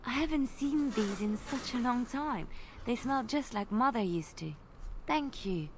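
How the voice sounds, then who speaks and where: A man voicing a gentle young woman in a game, speaking in a breathy, touched falsetto. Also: lively intonation, slow, a little hiss or hum, full character voice.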